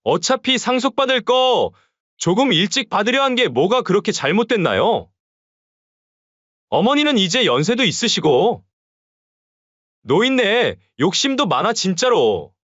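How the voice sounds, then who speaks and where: Voice playing a sneering greedy eldest son. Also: male voice, high-pitched, very animated, a little slow, long pauses, a bit bright, dry recording, exaggerated voice. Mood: callous. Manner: sneering, callous. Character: greedy eldest son, dismissive of his mother. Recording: dull lo-fi dry sound.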